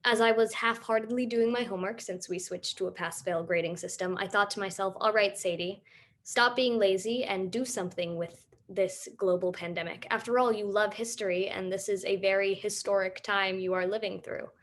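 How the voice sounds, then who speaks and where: A woman's voice: medium pitch, fairly even intonation, normal speed, a little hiss or hum. A young woman, still a student, delivering scripted first-person narration for a stage play. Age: teens to young adult.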